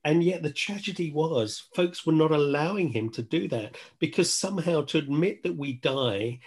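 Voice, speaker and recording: Male podcast guest, slightly husky voice, earnest and reflective, repeats phrases for emphasis. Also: fairly high pitch, expressive, moderate pace. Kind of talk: reflective conversation.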